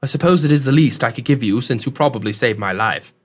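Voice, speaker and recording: A man's voice: mid-range voice, fast, husky, close to an everyday voice. A man narrating an audiobook, voicing a polite, earnest young adventurer with an anxious, urgent manner. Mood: polite, dismayed.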